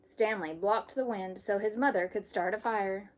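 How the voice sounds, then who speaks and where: A woman reading a children's story aloud like a teacher, with expressive storytelling. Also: mid-range voice, moderate pace.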